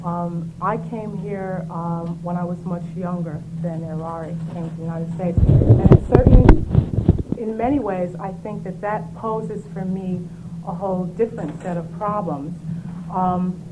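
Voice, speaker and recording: A woman from the West Indies speaking thoughtfully in a panel discussion, with many pauses and ums. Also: on the low side. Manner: reflective, measured, with frequent ums. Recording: noisy room recording.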